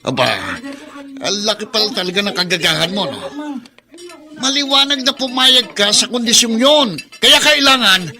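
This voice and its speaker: A Filipino actor playing a greedy, domineering middle-aged villain who taunts and threatens in a Tagalog radio drama. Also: male voice, sits high, big pitch swings, moderate pace, crowd noise. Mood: scornful.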